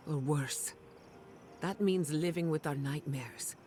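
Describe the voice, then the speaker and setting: A woman's voice: low-pitched, takes it slowly, warm tone, slight background noise. A woman acting a hard-nosed, resolute female companion in a game cutscene, grave, persuasive and unyielding.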